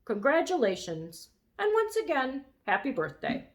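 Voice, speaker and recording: A woman voicing a huge, polite male brontosaurus with a rumbling voice in a storybook reading. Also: a little slow, exaggerated voice. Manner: polite and calm.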